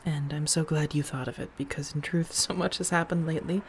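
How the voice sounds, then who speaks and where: A woman playing an affectionate, protective, elegant vampire roommate in a soft-spoken ASMR roleplay, tender and gently theatrical with breathy murmurs. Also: deep voice, steady tone, a little fast, slight background noise. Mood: playful, content.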